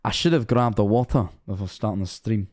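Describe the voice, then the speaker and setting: A man's voice: on the low side, fairly quick, darkish tone. A Scottish man of 21 streaming casually, rambling and self-mocking, swearing often.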